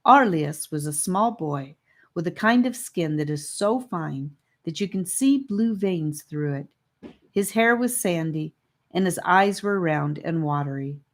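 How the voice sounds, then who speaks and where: A woman's voice: fairly low pitch, expressive, measured pace. A woman narrating a children's fantasy audiobook from a script. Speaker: audiobook reader.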